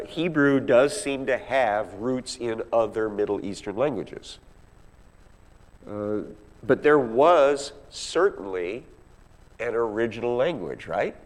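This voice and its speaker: A man teaching from the Bible in an emphatic, conversational way, often putting rhetorical questions to his listeners. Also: on the low side. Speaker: Bible class teacher or preacher. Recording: echoey hall with long reverb.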